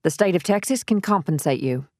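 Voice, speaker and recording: An earnest female defense lawyer , played by a woman with a low voice. She is measured and soothing, reassuring as she goes, in dramatic audiobook dialogue recorded dry. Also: expressive, a little mellow, no room sound, close to an everyday voice.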